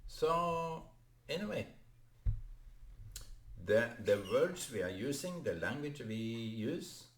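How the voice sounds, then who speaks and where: Slow Norwegian-accented man vlogging. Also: medium pitch, very animated, reverberant. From Norway. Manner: halting, many fillers and restarts. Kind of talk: unscripted solo vlog monologue.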